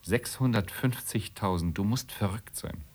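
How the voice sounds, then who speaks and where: An actor playing a blunt, nagging middle-class husband in a radio drama, with a gravelly, husky voice and a needling manner. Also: male voice, on the low side, measured pace, darkish tone. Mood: exasperated.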